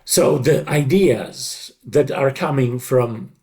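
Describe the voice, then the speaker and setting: A man's voice: medium pitch, takes it slowly, darkish tone. A middle-aged man giving conversational interview answers in an emphatic, explanatory manner, often repeating short phrases. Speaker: interview guest, dubbing creative director.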